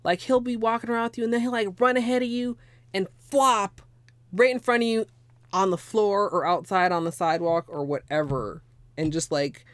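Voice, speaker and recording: A hoarse young woman chatting casually through a nail art tutorial at her desk at home, rambling with lots of fillers. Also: medium pitch, steady tone, moderate pace, dry recording. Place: small home room with space heater.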